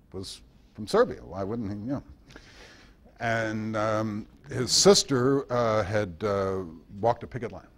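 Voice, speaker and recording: A man giving an informal talk and answering questions in a halting, reflective storytelling manner. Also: deep voice, slight background noise. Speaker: guest speaker.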